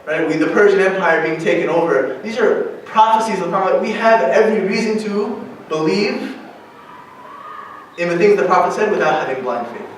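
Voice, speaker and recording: A high-voiced man gives a relaxed religious lecture, checking in with his listeners as he goes. He sits well back from the microphone. His voice rings through a very reverberant hall, with a faint hiss of noise underneath. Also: normal speed. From United States. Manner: conversational, often checks in with a questioning "right?". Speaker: guest lecturer at an Islamic center. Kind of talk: informal religious talk to young adults. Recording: very echoey hall, distant mic.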